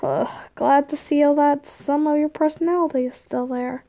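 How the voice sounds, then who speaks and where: A woman's voice: high voice, steady tone, normal speed, warm tone. A woman voicing an exasperated, teasing man looking after a boy in a story, scolding and bargaining wearily. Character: exasperated, teasing, busy male guardian of a boy.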